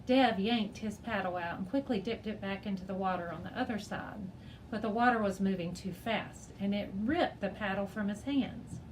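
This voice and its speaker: A woman's voice: medium pitch, normal speed. Woman reading a children's storybook aloud, noisy room. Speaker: teacher reading a children's chapter book aloud. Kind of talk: expressive storybook read-aloud.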